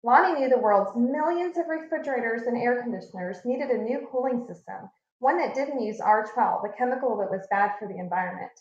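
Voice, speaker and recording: Echoey high-voiced woman reading a storybook. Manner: expressive, deliberate storytelling with emphasis. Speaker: read-aloud narrator, teacher. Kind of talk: reading a children's picture book aloud. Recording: echoey room, slightly muffled sound.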